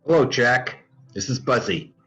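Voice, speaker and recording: An actor playing a businesslike baseball general manager in a dramatic script reading. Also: male voice, medium pitch, lively intonation, normal speed, bright, forceful tone. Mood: businesslike, regretful. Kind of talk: dramatic table read. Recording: muffled lo-fi sound, music behind.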